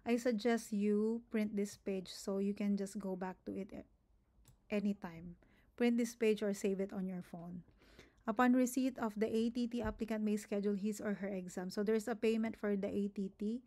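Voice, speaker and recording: This is a young woman with a Filipino accent talking through a tutorial, her first language Tagalog. Her voice is mellow and fairly level in pitch. She repeats phrases, restarts words, and keeps checking in with "okay?" as she explains. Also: fairly even intonation, normal speed. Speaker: nurse giving an application walkthrough. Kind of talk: screen-recorded tutorial, reading and explaining.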